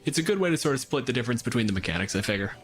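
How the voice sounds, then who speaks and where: A man's voice: medium pitch, animated, rapid-fire, faint music behind. Casual man running a tabletop game. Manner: informal, freely swearing. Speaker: game master.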